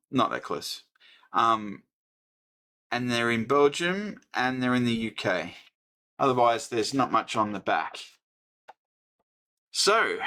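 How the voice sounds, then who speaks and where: A middle-aged man with an Australian accent talks through an old handbook in an unhurried, thinking-aloud way, pausing often and restarting his sentences. His voice is fairly bright with a forward edge, and his pace stays slow and relaxed. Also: medium pitch. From New South Wales, Australia. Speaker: host. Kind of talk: casual hobby electronics book review.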